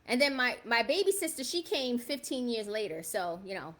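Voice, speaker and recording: A woman's voice: on the high side, very animated, normal speed. A woman in her fifties, raised in the South Bronx, hosting a casual livestream and talking straight to her viewers, often checking in with a "right?". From South Bronx, New York. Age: 50s. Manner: chatty, keeps asking viewers "right?". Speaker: livestream host.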